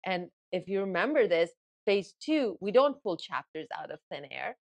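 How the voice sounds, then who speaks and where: A woman whose first language is Farsi, a guest in an interview, explaining her method in lively bursts and acting out inner thoughts. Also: fairly low pitch, moderate pace. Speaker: guest author and ghostwriting coach. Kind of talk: casual interview talk.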